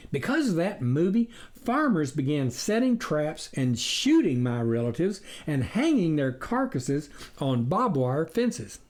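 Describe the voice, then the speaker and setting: A sly storybook wolf played by a narrator posing as a reformed soul. The delivery is persuasive and indignant, with a preachy streak. The pitch sits in a middle register and swings widely. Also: big pitch swings, measured pace, darkish tone. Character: sly, smooth-talking wolf-like stranger posing as vegan.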